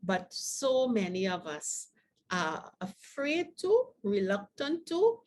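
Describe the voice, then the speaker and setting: An older woman co-hosting a talk show, speaking to viewers in a deliberate, emphatic manner. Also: mid-range voice, very animated, darkish tone. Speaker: co-host of a show for the over-fifties.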